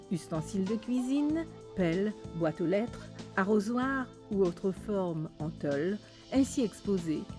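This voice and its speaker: A woman giving measured, polished scripted narration for a documentary. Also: mid-range voice. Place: voice-over studio. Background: music.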